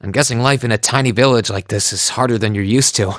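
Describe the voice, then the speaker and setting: An actor playing an earnest, kind teenage hero in an anime game dub, sincere and considerate in manner. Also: male voice, medium pitch, quick pace, fairly bright tone, dry recording, clean studio sound, close to an everyday voice. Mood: friendly, concerned.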